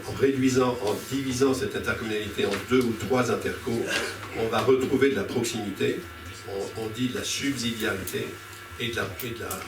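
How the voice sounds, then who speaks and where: Echoey, distant man addressing a public meeting. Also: fairly low pitch. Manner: halting, argumentative, often rephrasing mid-sentence. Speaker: speaker on a citizens' panel at a public local-politics debate. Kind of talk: impromptu political speech to a public meeting. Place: indoor public meeting room. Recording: echoey room, distant mic, clear background noise.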